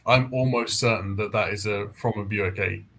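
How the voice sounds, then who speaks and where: A man's voice: fairly low pitch, steady tone, moderate pace, a bit bright. A man hosting a book readalong, talking casually about novels in a group discussion. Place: indoors, online group call.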